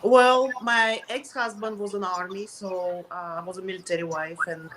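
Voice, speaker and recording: Russian-accented woman, halting English, noisy recording. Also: medium pitch, steady tone. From Russia, Soviet Union. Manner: halting, searching for words in non-native English. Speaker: interview guest. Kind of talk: casual interview talk.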